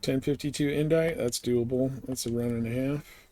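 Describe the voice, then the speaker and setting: A man's voice: mid-range voice, normal speed, mellow, no room sound. Man casually muttering through a game stream. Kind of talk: casual live game commentary.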